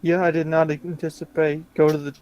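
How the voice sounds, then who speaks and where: Male tabletop player, fairly high pitch, relaxed conversational delivery over a call, light music behind. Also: fairly quick. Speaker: player in a tabletop roleplaying game. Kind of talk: casual chat. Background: background music.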